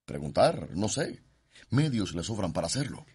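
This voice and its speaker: Deep-voiced, arrogant young Cuban suitor. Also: male voice, very animated, normal speed, a little mellow, slightly rough, heavily acted. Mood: worried, doubting. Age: young adult. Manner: doubting, proud. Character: arrogant, uneasy young suitor, son of schemer. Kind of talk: radio drama acting.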